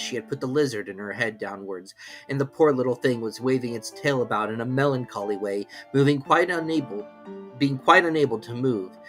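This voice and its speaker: A woman narrating a classic children's story in a measured, expressive reading. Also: extremely low pitch.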